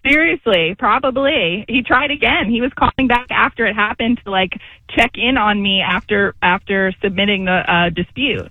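A woman's voice: medium pitch, normal speed, dry recording. A woman calling in to a radio show, telling her story in a rambling way with lots of fillers. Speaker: caller. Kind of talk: casual phone call to radio show. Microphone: phone. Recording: tinny phone line.

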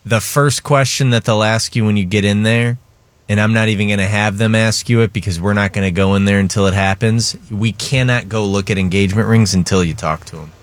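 Excitable male American radio host. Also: lowish voice, fairly quick, no room sound. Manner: excitable, joking, interjecting. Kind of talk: casual radio banter.

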